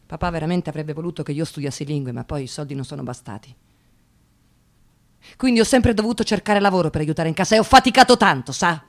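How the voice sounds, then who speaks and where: An actress playing a nervous, tired young unemployed woman in a radio monologue, halting and agitated, repeating her words. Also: low voice. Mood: wistful. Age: young adult. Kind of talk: radio theatre dramatic monologue.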